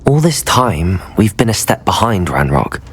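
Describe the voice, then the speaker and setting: A man playing a calm, diplomatic young student wizard in a video game, speaking in a measured, courteous way. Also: lowish voice, husky, full character voice. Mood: thoughtful.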